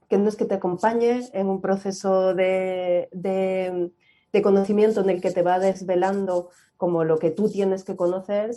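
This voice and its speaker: A woman's voice: medium pitch, dark tone. A woman museum educator on an online panel, thinking aloud as she weighs her words. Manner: thoughtful, searching for words. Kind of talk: panel discussion.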